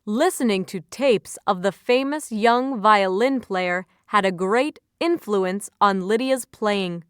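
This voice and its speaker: A woman reading scripted vocabulary entries and example sentences as an educational narrator, enunciating carefully in a measured way. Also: medium pitch, clean tone, no room sound, clean studio sound.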